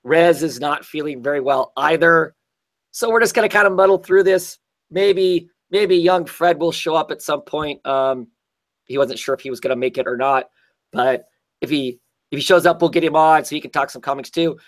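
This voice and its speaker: Hoarse, scratchy-voiced man hosting. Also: on the high side, normal speed. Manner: rambling, many restarts. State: hoarse, recovering from illness. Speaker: host. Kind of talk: casual livestream chat.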